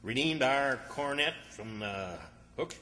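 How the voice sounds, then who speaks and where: A man's voice: mid-range voice, animated, slow, pushed and bright, slight background noise. A man acting a bossy, exasperated country fellow, scolding and commanding in an old-time radio comedy. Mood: impatient. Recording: hall reverb, distant stage sound.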